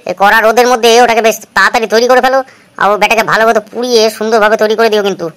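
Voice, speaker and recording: Woman as a fast, high-voiced scheming cartoon villager. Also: steady tone, fairly bright tone, clean tone, close to an everyday voice. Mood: chatty, instructing. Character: aggrieved, scheming village father out for revenge. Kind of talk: cartoon voice acting.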